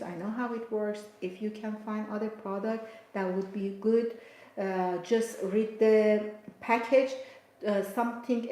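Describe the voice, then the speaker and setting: A woman whose first language is Farsi explains hair color steps in a relaxed live stream, pausing on fillers and restarting words. She talks at a middling pitch, and the sound carries the echo of a roomy space. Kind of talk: live tutorial talk. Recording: echoey room.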